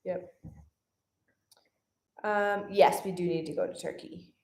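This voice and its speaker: A woman's voice: on the low side, fairly even intonation, slow, darkish tone. A young woman co-hosting a travel vlog livestream, reading out viewer questions in a casual, upbeat way and laughing easily. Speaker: travel vlogger co-host. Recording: roomy echo.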